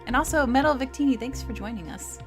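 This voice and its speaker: A woman's voice: high-pitched, animated, fast. A woman hosting a let's-play, chatting casually with her viewers and co-host. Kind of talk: casual chat with viewers and co-host, reading dialogue. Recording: game music behind the voice. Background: video game music.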